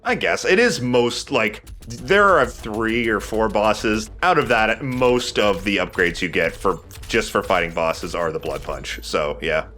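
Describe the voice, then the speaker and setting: A man's voice: fairly low pitch, unhurried, hardly any pauses, sharp tone, faint music behind. A man hosting a let's play, chatting casually and agreeably about the game as he goes. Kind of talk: casual gameplay commentary.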